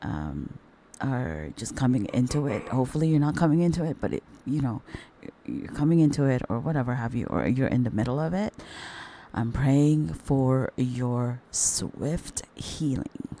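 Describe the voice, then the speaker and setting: A middle-aged woman with a hoarse voice from the flu, chatting casually with her viewers as host of a commentary channel and calling them darlings. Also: expressive, normal speed, warm tone, slight background noise. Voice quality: very deep voice.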